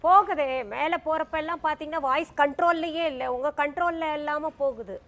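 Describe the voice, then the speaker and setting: A woman's voice: high-pitched, brisk, noisy background. A woman giving spoken feedback as a judge at singing auditions.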